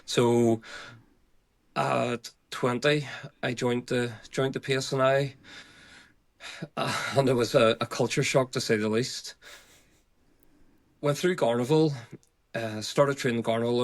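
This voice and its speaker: A man in his forties with a Northern Irish accent, telling his own story as an interview guest in a halting, conversational way. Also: mid-range voice, steady tone. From Northern Ireland. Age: 40s. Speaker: podcast guest, retired police officer. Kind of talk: reflective personal interview.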